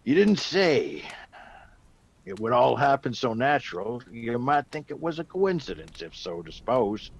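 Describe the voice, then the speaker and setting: An actor playing a gruff old soldier back from India, a rambling, emphatic storyteller fond of whiskey, in a radio drama. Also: male voice, medium pitch, big pitch swings, unhurried, heavily acted. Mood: grave, ominous.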